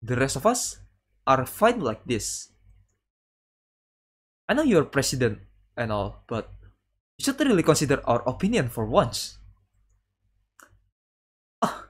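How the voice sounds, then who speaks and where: A man streaming a visual novel, reading dialogue aloud haltingly and adding casual commentary. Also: mid-range voice, sing-song, husky. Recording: music playing behind the voice. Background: guitar game music.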